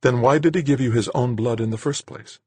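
A man voicing a defiant, self-assured woman crew member in an audiobook, with a firm, sharp way of talking. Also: mid-range voice, fairly quick, husky, no echo, voice pushed up, natural voice. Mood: questioning, rueful.

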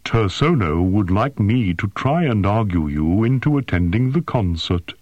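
A man voicing a huge, courteous male alien in an audiobook, with a rumbling, measured and formal manner. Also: lively intonation, a little mellow, no echo, muffled recording. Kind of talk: audiobook character voice.

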